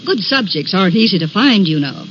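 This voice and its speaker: A woman's voice: medium pitch, very animated, fairly quick, a little hiss or hum, lightly acted. An actress playing a curious, self-possessed young woman writer in an old-time radio drama, speaking articulately and theatrically. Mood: friendly, probing. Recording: dull vintage radio recording with music.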